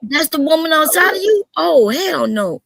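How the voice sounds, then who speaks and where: A woman's voice: high voice, animated, normal speed, gravelly, crowd noise. A woman in a casual group chat, teasing and exasperated, often exclaiming to the Lord.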